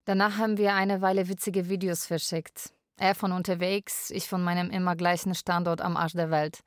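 An actress playing a lonely, wry Polish live-in carer, narrating a radio drama in a deliberate way. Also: female voice, lowish voice, little pitch movement, no room sound, clean studio sound, close to an everyday voice. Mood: wry, frank.

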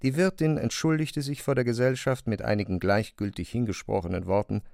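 A man's voice: mid-range voice, fairly even intonation, brisk, creaky, a little mellow, no room sound, studio quality. A man narrating a literary story from a script as the narrator of a radio drama.

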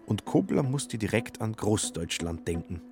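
A man narrating a literary radio play in a measured, wry storytelling manner. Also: on the low side, expressive, a little mellow, soft background music.

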